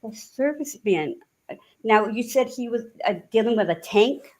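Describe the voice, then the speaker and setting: A woman from New England with a subtle regional accent, chatting hesitantly and laughing softly as she gets a reading. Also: fairly high pitch, lively intonation, moderate pace. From New Hampshire and Massachusetts, US. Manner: hesitant, frequent soft laughter. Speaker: guest receiving a reading. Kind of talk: casual chat.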